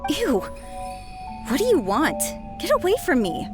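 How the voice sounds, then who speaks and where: High-pitched, girlish young woman. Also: wildly swooping pitch, a little slow, over music, exaggerated voice. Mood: disgusted. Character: young woman, disdainful or coyly lovestruck. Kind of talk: video game character acting.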